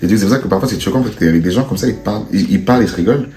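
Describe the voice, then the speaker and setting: A man from Cameroon chatting casually with his wife as co-host, talking fast with lots of restarts. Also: fairly low pitch. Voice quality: fast and animated, with many restarts. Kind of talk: casual couple chat. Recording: echoey room.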